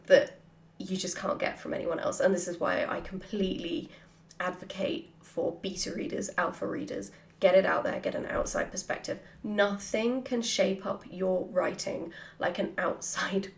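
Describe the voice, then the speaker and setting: A woman chatting casually and playfully as she answers questions from her followers, with an animated manner. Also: medium pitch, gravelly. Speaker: writer hosting a Q&A. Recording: roomy, echoey sound.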